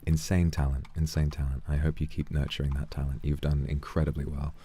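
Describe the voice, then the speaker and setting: A man streaming a game, chatting calmly and earnestly with viewers and thanking them for donations. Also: a bass voice, fairly quick, a little hiss or hum. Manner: calm, reflective, earnest. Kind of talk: casual livestream chat while gaming.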